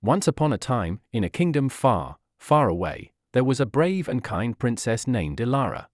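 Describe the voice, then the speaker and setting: Animated male fairy tale narrator. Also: medium pitch, moderate pace, no room sound, studio quality.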